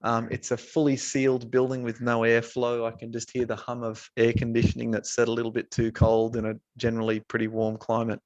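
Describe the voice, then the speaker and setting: A man from Australia talking casually in a webinar, pausing often with fillers and restarts, speaking from an office building. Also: mid-range voice, monotone, a little mellow, no echo, lo-fi sound. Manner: hesitant, many restarts and fillers. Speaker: researcher.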